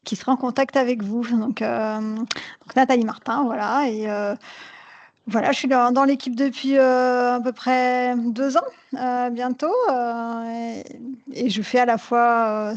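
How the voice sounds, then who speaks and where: A woman's voice: on the high side, fairly even intonation, unhurried, dull audio. A woman from France co-presenting a webinar over a video call, talking with many fillers. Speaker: consultant and co-presenter. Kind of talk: live online presentation.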